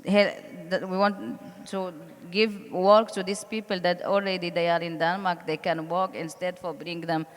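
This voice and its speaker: A woman who is not a native English speaker, presenting haltingly on a panel and searching for words. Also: lowish voice, flat delivery. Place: reverberant hall, before an audience. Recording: echoey hall with audience sounds.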